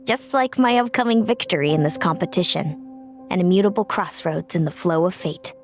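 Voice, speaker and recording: A woman playing a solemn, proud, fatalistic young seer with measured, grandiose phrasing in an anime-style video game. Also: lowish voice, natural voice. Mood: proud, ominous. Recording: music behind the voice.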